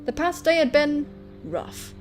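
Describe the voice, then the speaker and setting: A woman's voice: sits high, sing-song, a little slow, soft background music. A woman doing let's-play commentary, reading a visual novel aloud and joking crudely with plenty of swearing. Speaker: gaming video host.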